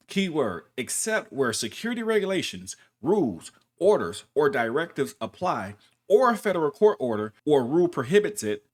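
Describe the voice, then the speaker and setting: A man giving commentary and reading rules aloud, stressing key words with deliberate emphasis. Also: mid-range voice. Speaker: commentary channel host.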